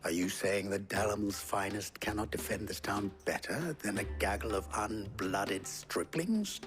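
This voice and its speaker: A man playing a sly, smooth-talking advisor in a medieval fantasy game, with a theatrical delivery. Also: lowish voice, measured pace, fairly bright tone, faint music behind. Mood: goading. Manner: theatrical, silver-tongued. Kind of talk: dramatic video game cutscene dialogue.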